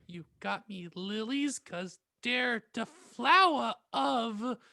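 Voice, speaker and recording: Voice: medium pitch, expressive, takes it slowly, vocal fry, sharp tone, dry recording, clean studio sound. A podcast co-host doing exaggerated, theatrical comic role-play and banter, given to giggling.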